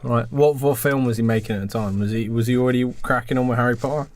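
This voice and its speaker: A man with a medium-pitched voice and an English accent co-hosts a casual podcast. He teases the others and keeps prodding them with questions, in loose, joking banter. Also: steady tone, quick pace. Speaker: podcast co-host.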